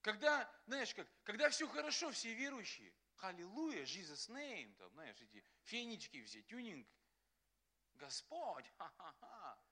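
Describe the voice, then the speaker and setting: A man preaching a sermon as pastor, animated and acting out quotes, often laughing. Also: high-pitched, a little slow. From Russia.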